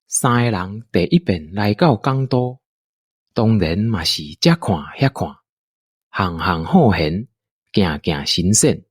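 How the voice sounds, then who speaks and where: Male narrator, Taiwanese accent. Very animated intonation, leisurely pace, storytelling for audio drama. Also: fairly low pitch, slightly rough, dry recording, studio quality. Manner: unhurried, expressive storytelling delivery.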